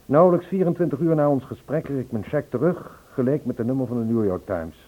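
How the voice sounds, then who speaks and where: A man's voice: medium pitch, animated, fast talker, natural voice. An actor playing an anxious, insistent man searching for a missing woman in a Dutch radio play. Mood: troubled recounting. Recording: husky, phone-like old radio play recording.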